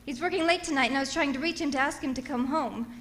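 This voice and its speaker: An actress playing a nervous, demanding wealthy invalid wife in a radio thriller, flustered and stammering, with haughty insistence. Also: female voice, high-pitched, quick pace, fairly bright tone. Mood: anxious, insistent. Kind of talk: old-time radio thriller acting.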